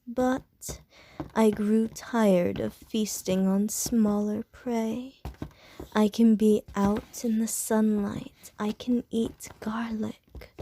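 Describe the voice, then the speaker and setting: Short, teasing female vampire voiced by a woman for ASMR roleplay: unhurried half-whispered delivery, mellow tone, innuendo-heavy flirting. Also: medium pitch.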